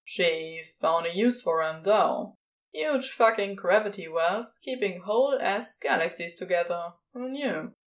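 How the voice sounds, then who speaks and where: A woman's voice: on the low side, animated, unhurried. A woman voicing a sardonic, casual male celestial being with offhand, clipped phrasing in a fan fiction audiobook. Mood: exasperated, wry.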